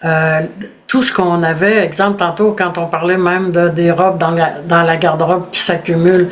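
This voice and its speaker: A woman's voice: fairly low pitch, steady tone, normal speed. A woman who hosts the interview, asking hesitant questions with many fillers. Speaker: host. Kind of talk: casual interview talk.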